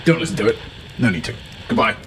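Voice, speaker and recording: Man putting on a pompous, bumbling professor, animated delivery. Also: fairly low pitch, fast talker, noisy background. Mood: flustered. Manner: pompous, flowery, rambling with blunt asides. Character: pompous, bumbling, long-titled male professor of adventure lore. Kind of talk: comic in-character monologue as a recorded message.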